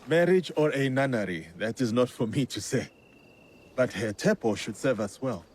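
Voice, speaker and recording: Man playing a terse warrior, widely varied pitch. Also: expressive, moderate pace, darkish tone, slight background noise, lightly acted. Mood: reserved. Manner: terse, direct. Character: plainspoken, loyal, intense male warrior. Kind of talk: video game cutscene voice acting.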